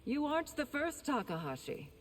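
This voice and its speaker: A woman's voice: sits high, sing-song, moderate pace. A woman playing a haughty, menacing female fighter in a video game. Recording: loud background noise and clicks.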